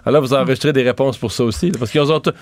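Animateur au débit rapide. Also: male voice, medium pitch, dry recording. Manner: quick, teasing, conversational. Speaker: radio host. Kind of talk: radio studio interview. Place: radio studio.

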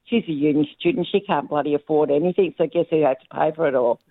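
A middle-aged Australian woman calling in, chatty and blunt, her voice a bit croaky. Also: sits low, quick pace, no echo. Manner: blunt and chatty, swears casually. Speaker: caller. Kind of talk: casual phone-in chat. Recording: thin phone line.

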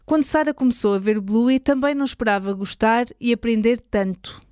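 A woman's voice: on the high side, fairly quick, a little mellow, clear, resonant tone, dry recording, clean studio sound. A woman with a European Portuguese accent reading scripted journalistic narration as a podcast host.

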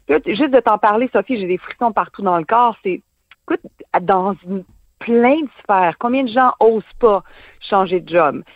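A woman's voice: medium pitch, moderate pace, no room sound. Quebec woman in her forties, tinny line. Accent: Quebec French. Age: 40s. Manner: earnest, animated, repeats phrases for emphasis. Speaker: guest author. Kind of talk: candid reflective conversation. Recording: tinny dry phone-like line.

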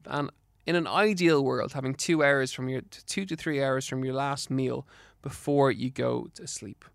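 Irish guy w a slight lisp doing a health podcast, bit of fry in the voice, dry studio sound. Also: medium pitch, fairly even intonation, measured pace, no echo. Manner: earnest, instructive, encouraging. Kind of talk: solo podcast talk giving health advice.

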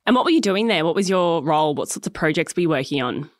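Low-voiced woman hosting, dry studio sound. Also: animated, fast talker, no echo. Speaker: podcast host. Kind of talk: scripted intro then interview questions.

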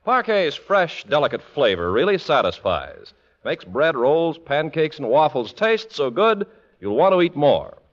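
A man's voice: a little high, big pitch swings, unhurried. A man announcing on a 1940s radio show with a polished, emphatic salesman's delivery. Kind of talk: 1940s radio commercial and scripted narration. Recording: muffled vintage radio recording.

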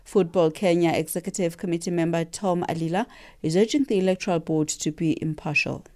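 A woman's voice: lowish voice, a little fast, a little mellow, dry recording, studio quality. A woman who reads the news and hosts a radio interview in an upbeat presenting manner. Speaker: radio host and newsreader.

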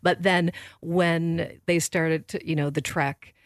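A woman's voice: low-pitched, steady tone, slow pace, no room sound, clean studio sound. A woman hosting a casual book discussion, talking conversationally and putting questions to the group. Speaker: book club host.

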